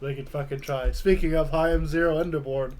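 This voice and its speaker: A man with a fairly high voice hosts a tabletop game stream. He chats casually, with plenty of swearing and joking. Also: fairly even intonation, fairly quick, darkish tone. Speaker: channel host and tabletop player.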